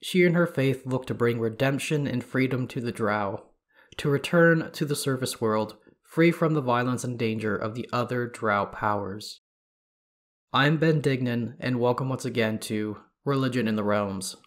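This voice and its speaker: A man hosting a podcast, sounding congested as he reads lore in a steady, measured way. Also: mid-range voice. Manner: steady, measured reading of reference material. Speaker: podcast host. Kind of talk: scripted lore narration.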